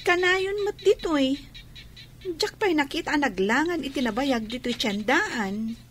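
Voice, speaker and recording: A woman's voice: high-pitched, expressive, a little slow, a bit bright, a little hiss or hum. A woman playing a chatty grown child talking with a father, in an Ilocano radio drama with an Ilocano accent.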